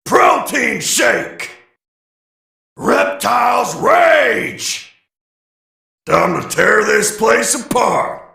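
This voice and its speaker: A man voicing a vain, aggressive animatronic alligator in a video game, gravelly, swaggering and bellowing. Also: extremely high pitch, big pitch swings, extremely slow, long pauses, pushed and bright, shouting, exaggerated voice. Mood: cocky, aggressive. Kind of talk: video game catchphrase acting. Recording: roomy echo.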